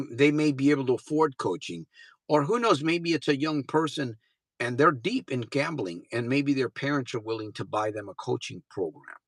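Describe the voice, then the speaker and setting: Fifty-something guy coaching folks on a live stream, punchy forceful voice, dead dry sound, keeps repeating himself for emphasis. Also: medium pitch, no room sound. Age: 50s. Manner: earnest, repeats phrases for emphasis; blunt and informal, swears casually. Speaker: gambling recovery coach. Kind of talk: motivational livestream talk.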